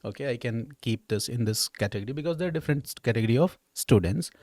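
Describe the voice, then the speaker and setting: Indian guy teaching exam stuff, keeps checking in, mid pitched voice, very dry sound. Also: lively intonation, quick pace, darkish tone, no echo. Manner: didactic, often checking with "okay" and "right". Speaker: history teacher at exam coaching institute. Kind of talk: explanatory exam discussion.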